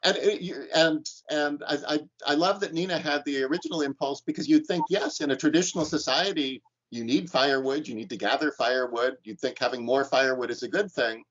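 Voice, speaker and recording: Male instructor, mid-pitched, halting lecture with restarts, lo-fi online call. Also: fairly bright tone. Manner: thoughtful, many restarts and ums. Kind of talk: lecture with class discussion. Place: online class.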